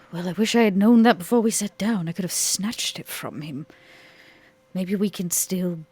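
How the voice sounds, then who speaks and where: Woman playing a husky, genteel vampire lady. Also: fairly low pitch, moderate pace, a little mellow, no echo. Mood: regretful, resolved. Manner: prim, quaint turns of phrase. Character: genteel, old-fashioned, quick-witted female vampire. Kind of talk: in-character tabletop roleplay.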